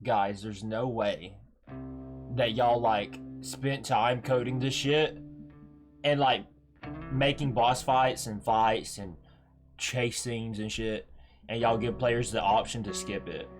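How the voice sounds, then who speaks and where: A man's voice: medium pitch, fairly even intonation, slow, faint music behind. A young man doing casual, profane game commentary as a streamer, slangy and repetitive, prone to ranting.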